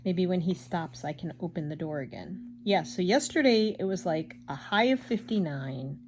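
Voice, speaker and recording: Chatty older woman, lowish voice. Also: lively intonation, moderate pace, a little hiss or hum. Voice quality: loud, projecting voice. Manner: chatty, rambling, self-deprecating. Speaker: craft vlogger. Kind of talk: casual chat while embroidering.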